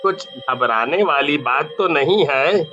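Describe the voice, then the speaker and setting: A man's voice: a little high, lively intonation, slow, pushed and bright, soft background music, heavily acted. A man voicing a concerned villager in a cartoon story, exclaiming, with muffled sound.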